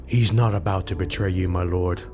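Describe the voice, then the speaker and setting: A man's voice: sits low, steady tone, normal speed, over music, voice pushed down, lightly acted. A man voicing a sly, mocking rogue retainer in an audiobook, deferential and insinuating. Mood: assured, deferential.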